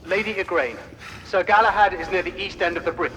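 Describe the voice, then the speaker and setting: An actor playing a dutiful military officer relaying orders in a video game, formal, clipped and urgent. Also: male voice, high-pitched, brisk, poor audio. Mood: stern, urgent. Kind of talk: cinematic video game acting. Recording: loud music and gunfire behind.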